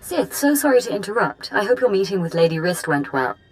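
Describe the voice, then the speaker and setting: A woman's voice: medium pitch, very animated, brisk, faint music behind, lightly acted. A woman playing a polite, worried noblewoman in a video game, formal and deferential. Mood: polite, anxious. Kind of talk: dramatic video game acting.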